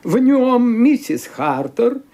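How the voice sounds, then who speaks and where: An actor playing a dry, cautious lawyer in a radio play, who questions formally with hesitant pauses. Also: male voice, very high-pitched, very animated, mellow, exaggerated voice. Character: dry, cautious middle-aged male lawyer. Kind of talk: Soviet-era radio play acting.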